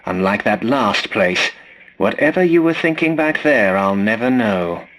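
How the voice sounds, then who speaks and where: An actor playing a fussy, polite, snarky scavenger robot in a video game, with a prim, formal and dryly sarcastic delivery. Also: male voice, fairly low pitch, animated, unhurried, fairly bright tone, poor audio. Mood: dry, grumbling. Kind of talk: scripted video game character lines.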